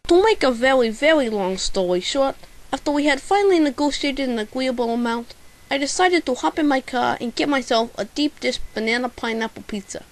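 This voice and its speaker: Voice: sits high, lively intonation, brisk. A young-sounding amateur actor playing a bumbling, pompous male private eye in a radio drama, theatrical and self-important. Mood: self-important narrating. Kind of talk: amateur radio drama detective narration and dialogue. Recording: hissy, noisy amateur home recording.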